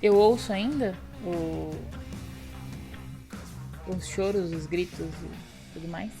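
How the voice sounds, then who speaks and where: A woman's voice: fairly low pitch, slow, dark tone, faint music behind. A woman playing a tabletop roleplaying game, telling her character's feelings and actions in the first person.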